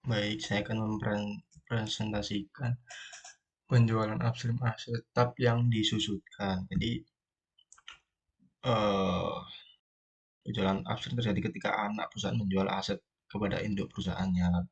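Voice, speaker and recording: A man's voice: on the low side, little pitch movement, unhurried, pauses often, husky. A young man giving a formal student presentation. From Indonesia. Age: young adult. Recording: dull lo-fi microphone sound.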